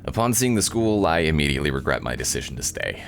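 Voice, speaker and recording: Visual novel protagonist: sardonic young guy read by a man, low-pitched, disappointed, dryly narrating. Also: very animated, quick pace, soft background music, full character voice. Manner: dry, incredulous, narrating.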